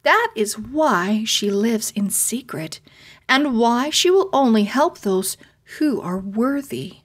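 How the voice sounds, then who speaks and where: Fairy-world girl, played by a woman, high-pitched, calm, confident, friendly, reassuring storybook delivery. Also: takes it slowly.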